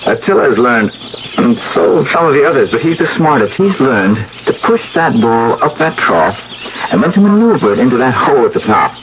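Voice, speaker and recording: A man playing an intense, earnest research scientist and devoted lover in a 1970s radio drama, speaking in a deliberate, lecturing manner. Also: mid-range voice, very animated, lightly acted. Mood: explanatory. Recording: muffled, very noisy old radio broadcast.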